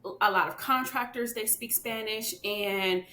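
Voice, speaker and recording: A woman's voice: unhurried, pushed and bright. A woman talking casually as a podcast guest, chatty, with many fillers and "you know what I mean" asides. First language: English. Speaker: podcast guest, children's book author. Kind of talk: casual interview chat. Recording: echoey room with faint rumble.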